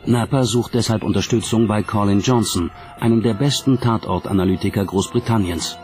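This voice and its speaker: A man narrating a documentary from a script in a measured, dramatic storytelling way. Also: on the low side. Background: background music.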